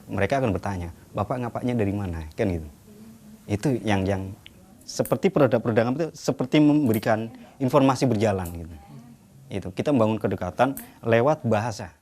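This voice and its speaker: A man from Central Java with a Banyumasan accent, answering interview questions in a relaxed, conversational way. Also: on the low side, fairly quick. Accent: Banyumasan Javanese.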